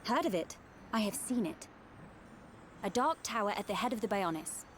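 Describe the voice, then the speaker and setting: A woman's voice: medium pitch, expressive, a little hiss or hum, natural voice. Woman playing a poised, formal young noblewoman. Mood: earnest, grave. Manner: formal, measured, courteous. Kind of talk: video game cutscene acting.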